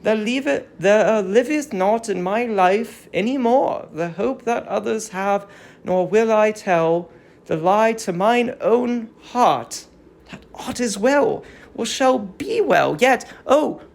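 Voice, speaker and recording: Performer voicing a grieving young captive widow, slow declamation, creaky edge, classical tragedy, mournful. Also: mid-range voice, animated, darkish tone. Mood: sorrowful, bitter. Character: grieving captive Trojan widow Andromache, young noble mother. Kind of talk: dramatic reading of classical Greek tragedy.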